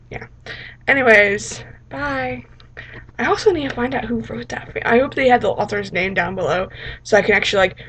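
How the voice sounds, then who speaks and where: A woman's voice: mid-range voice, fairly bright tone. A woman vlogger chatting casually in a rambling, informal, self-deprecating way for a reaction video. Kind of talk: casual reaction vlog chat.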